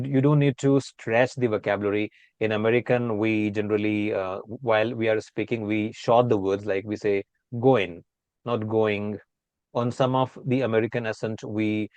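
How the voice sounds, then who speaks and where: A man with an Indian accent teaching English, talking quickly and flowing on with few pauses. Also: lowish voice, darkish tone, no room sound. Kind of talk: conversational accent lesson.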